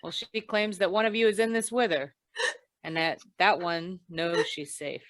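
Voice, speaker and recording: A woman's voice: on the low side, steady tone, normal speed, a bit bright, no room sound, lightly acted. A woman playing a wry, hard-boiled insurance investigator in an old-time radio drama, with dry, tough delivery. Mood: cool, commanding.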